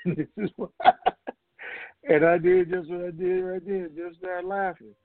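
A man's voice: high voice, fairly even intonation, takes it slowly, no room sound. A man hosting a conference call, talking to the group in a casual, rambling, folksy way. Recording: muffled, narrow-band phone conference line.

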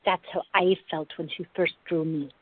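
A woman's voice: fairly low pitch, fairly even intonation, moderate pace, breathy edge. A woman playing a firm, sensible peacemaking partner in a radio drama, with a chiding but fond manner. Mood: gentle. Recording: thin, phone-like band-limited audio.

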